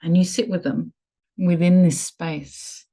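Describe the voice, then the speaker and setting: A woman leading a guided meditation in a soothing, measured way with lingering pauses. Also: fairly low pitch, steady tone, vocal fry, warm tone.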